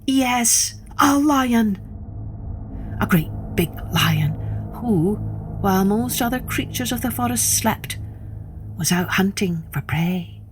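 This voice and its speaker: A woman telling a children's story in an expressive, dramatic way, addressing the listener directly. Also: mid-range voice, slow pace, slight background noise. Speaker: storyteller, podcast host.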